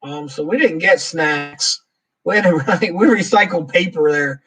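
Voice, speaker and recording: A man's voice: on the high side, unhurried, a bit bright, slightly rough, recorded from a distance, poor audio. A man hosting a casual live Q&A, rambling through his answers and reading viewer comments aloud. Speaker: livestream host.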